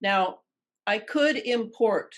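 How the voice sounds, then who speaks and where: An older woman with an American accent answering in an interview, deliberate and emphatic, repeating phrases for weight. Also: fairly high pitch. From Detroit, United States. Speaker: interview guest.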